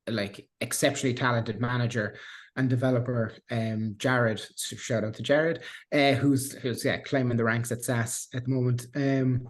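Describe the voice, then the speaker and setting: A man in his thirties with a Cork Irish accent, a guest in a casual interview who rambles with restarts and fillers. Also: medium pitch. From Ireland. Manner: rambling, many restarts and fillers, self-deprecating laughs. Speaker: guest, software engineer.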